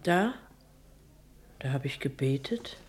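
Old housekeeper, deep voice, very slow, plain and reticent, quietly grieving, radio drama. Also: female voice, animated, husky, full character voice. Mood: sorrowful, devout. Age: older. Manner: halting, subdued, simple. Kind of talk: German radio play acting.

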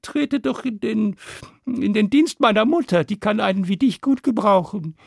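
A man's voice: high voice, normal speed, creaky, dry recording, clean studio sound, pitched above the performer's own voice. A man voicing a big but easily cowed dragon son in a fairy tale, hesitant and pleading. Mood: nervous, coaxing.

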